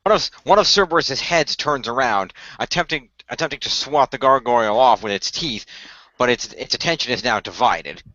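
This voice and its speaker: Bright-voiced man improvising fantasy narration. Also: mid-range voice, lively intonation, dry recording. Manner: halting, often repeats phrases as he improvises. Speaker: game master, co-host of a fan radio play. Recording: dull lo-fi voice recording.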